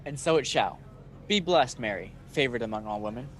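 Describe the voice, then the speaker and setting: A man playing a calm, authoritative messenger angel in a radio play, speaking in a solemn, measured way. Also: mid-range voice, slight background noise, natural voice. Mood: solemn, proclaiming.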